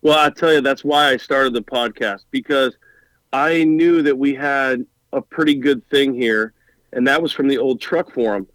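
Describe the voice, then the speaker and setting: A man's voice: medium pitch, moderate pace, fairly bright tone, dry recording, low-quality recording. A man from Montana chatting casually as a podcast guest, rambling with enthusiasm and often repeating words as he starts a thought. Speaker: guest, firefighter and truck podcaster.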